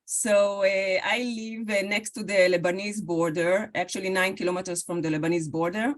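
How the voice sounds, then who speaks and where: A woman with an Israeli accent, a lecturer giving an academic talk over a video call, speaking haltingly with many fillers and restarts. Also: medium pitch, steady tone.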